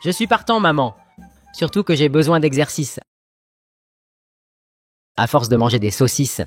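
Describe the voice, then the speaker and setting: Actor as young male adventurer, cheeky, eager, theatrical, mid-pitched, storybook cartoon. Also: big pitch swings, measured pace, soft background music. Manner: theatrical, rhyming, boastful. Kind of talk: cartoon fairy-tale character acting.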